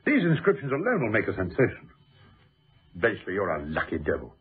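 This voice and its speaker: A persistent gentleman in an old radio play, curious, hearty and impatient, pressing his point in repeated phrases. The voice is rough and husky with sweeping pitch. It sounds boxed-in and lo-fi, like an old broadcast. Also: wildly swooping pitch, full character voice. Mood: impressed. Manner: brisk, pressing, repeats words, hearty old-fashioned speech. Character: eager, persistent gentleman colleague, inquisitive and impatient. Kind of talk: BBC radio drama acting. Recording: muffled lo-fi old radio recording.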